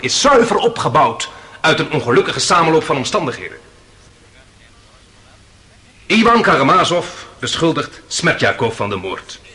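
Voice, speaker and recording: A passionate defence lawyer in a radio courtroom drama. His fairly high, bright voice rises and falls dramatically as he pleads. The delivery is earnest, emphatic theatrical oratory. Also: exaggerated voice. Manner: rhetorical, emphatic, persuasive.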